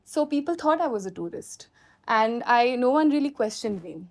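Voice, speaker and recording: A woman filmmaker with an Indian accent answers questions off the cuff in a high-pitched voice. She explains through animated anecdotes, recounting what people said and did. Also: normal speed. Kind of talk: spontaneous question-and-answer talk.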